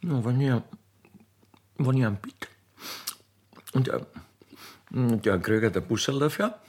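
A man's voice: medium pitch, a little slow, lightly acted. A man voicing a naive, chatty young railway-keeper's girl in a radio play, with rustic Austrian dialect and flustered stammering. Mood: admiring, naive.

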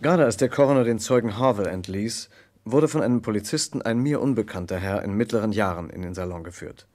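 A man playing the observant narrator of a German radio crime play, telling the story in a measured, literary way. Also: lowish voice, a little mellow, natural voice. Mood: measured storytelling. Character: observant male first-person narrator of a detective story.

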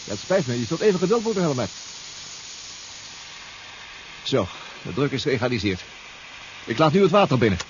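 An actor playing a protective, anxious submarine crewmate who gives careful instructions in a 1970s science-fiction radio drama. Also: male voice, medium pitch, sing-song, quick pace, close to an everyday voice. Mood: businesslike, careful. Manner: cautioning, instructive. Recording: dull, noisy 1970s radio recording.

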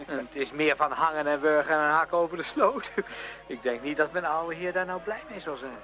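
A man's voice: on the high side, normal speed, lightly acted. A man acting a moody, confiding teenage schoolboy in a Dutch radio play. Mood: rueful. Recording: hum and faint noise, radio drama recording.

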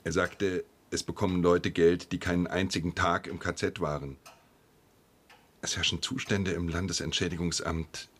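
An actor playing a firm, formal office official in a German radio play. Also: male voice, sits low, level tone, normal speed, a bit bright, slightly rough. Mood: grave, concerned. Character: firm, indignant male compensation office official.

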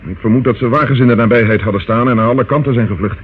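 Police subordinate, male actor: brisk, rapid, formal reporting; old radio drama, narrow noisy band. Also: mid-range voice, close to an everyday voice. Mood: businesslike. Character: dutiful, clipped police subordinate reporting to his superior. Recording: phone-like, noisy old radio play recording.

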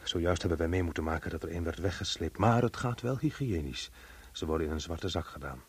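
An actor playing a chummy, unctuous radio reporter doing mock live commentary in a radio drama. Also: male voice, sits low, brisk, slightly rough. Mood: hushed commentary. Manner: cheerfully unctuous, chatty. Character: smarmy, chummy male radio reporter at a grim spectacle.

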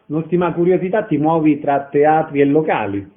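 A man's voice: fairly high pitch, brisk, a little hiss or hum. A man working as a radio interviewer, putting questions to a guest. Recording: narrow phone-like radio sound.

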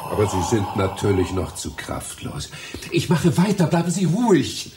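An actor playing a polite, anxious, bumbling library visitor in a German radio play, with a hesitant, puzzled manner. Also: male voice, mid-range voice, very animated, normal speed, heavy background noise, close to an everyday voice. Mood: alarmed, urgent. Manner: hesitant, puzzled, muttering to himself.

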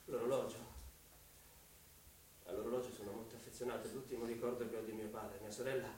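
A poor young student in a radio play, acted by a man, guarded, uneasy and polite. He talks quickly in an even, narrow range, distant in a very echoey space. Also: mid-range voice, natural voice. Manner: polite, hurried, flat. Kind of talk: radio drama dialogue. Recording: echoey, distant, faint hiss.

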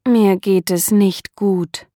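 Female voice for a language course, slow and precise, dry close recording. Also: medium pitch, fairly even intonation, dark tone, dry recording. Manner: clear, deliberate enunciation. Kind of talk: slow scripted language drill.

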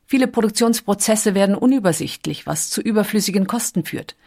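A woman narrating a documentary in a calm, even storytelling manner. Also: mid-range voice, brisk.

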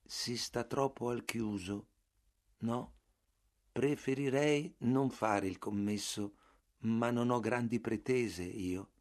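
Guy doing a quiet slow clerk, calm flat mumble, stubborn as anything. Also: mid-range voice. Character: meek quiet male clerk, passive and stubborn.

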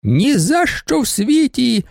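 A man reading an audiobook, voicing a shrill, hysterical older baroness who shrieks her complaints. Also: sing-song, moderate pace, creaky, darkish tone, no echo, clean studio sound. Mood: shrill complaining. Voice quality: shrieking, piercing. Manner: overwrought, complaining. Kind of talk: audiobook character voice.

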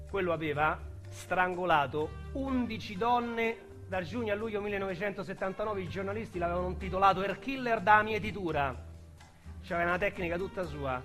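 A man's voice: high voice, fairly bright tone. An actor with a Roman accent playing a crude, rambling male ex-convict in a radio drama. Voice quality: slangy, rambling, vulgar storyteller. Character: crude talkative male ex-convict recalling prison.

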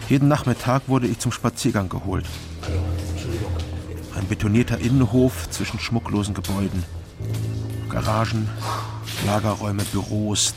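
An actor playing a sober private detective who narrates his captivity in a radio drama, measured and reflective. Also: on the low side, lightly acted. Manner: measured, reflective, in short plain phrases.

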